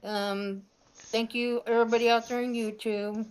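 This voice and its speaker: Clear, fairly high-voiced woman. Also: level tone, moderate pace, a little mellow, low-quality recording. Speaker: guest on a gaming show. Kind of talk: casual sign-off shout-out.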